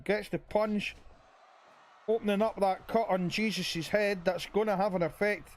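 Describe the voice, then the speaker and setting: A man with a hoarse voice commentating a video game wrestling match and hosting his channel, with an announcer's style. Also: steady tone, a little slow, soft background music. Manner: hype announcer, booming when calling the finish.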